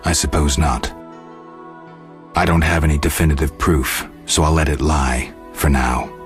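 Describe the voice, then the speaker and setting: An actor playing a stoic, gruff monster hunter turned investigator in a video game, terse and flat in delivery. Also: male voice, extremely low pitch, unhurried, faint music behind. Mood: cool, probing.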